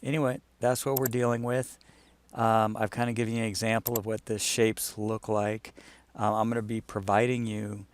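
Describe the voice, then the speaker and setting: A man with a fairly low voice walks through something on screen in an informal way. He explains it like a client briefing someone on a project, thinking aloud as he demonstrates. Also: level tone, normal speed. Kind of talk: casual screen-share walkthrough.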